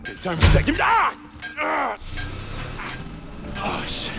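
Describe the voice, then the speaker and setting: Military shooter role: young soldier, urgent, strained, high gravelly voice with wide swings, music behind. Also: male voice, sing-song, unhurried, heavily acted. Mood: pained. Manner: shouting, strained. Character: young male soldier, urgent, loyal battle buddy. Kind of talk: military shooter game acting.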